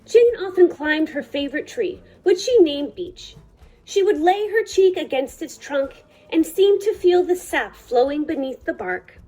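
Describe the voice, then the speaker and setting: Female storytime reader, high and very melodic, soft-toned, deliberate phrasing, faint music underneath. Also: animated, poor audio. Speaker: librarian reading a picture book aloud. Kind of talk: children's storytime read-aloud.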